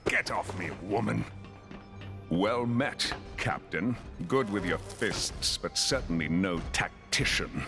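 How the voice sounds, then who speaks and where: Game supervillain, conqueror of time, pompous, theatrical, lowish voice, slow and deliberate. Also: male voice, lively intonation, music in the background, exaggerated voice. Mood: scornful.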